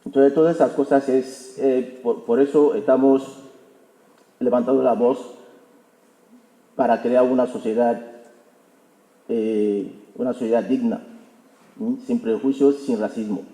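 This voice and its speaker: A man telling an anecdote to an audience in a halting way, often repeating his words. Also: mid-range voice, steady tone, dark tone. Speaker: intercultural mediator presenting the event. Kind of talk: informal public talk with anecdote. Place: auditorium hall. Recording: echoey hall recording.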